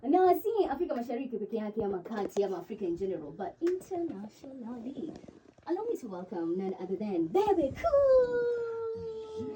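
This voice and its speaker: A woman's voice: sits high, sing-song, normal speed, far from the mic, background noise. A woman from the Kenyan coast hosting an interview, enthusiastic and effusive, mixing English and Swahili. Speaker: radio host.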